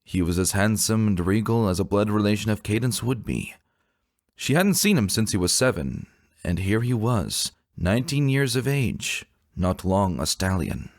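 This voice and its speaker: A man narrating a fantasy story as a dramatic reading, solemn and deliberate in his storytelling. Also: lowish voice, very animated, no echo, studio quality.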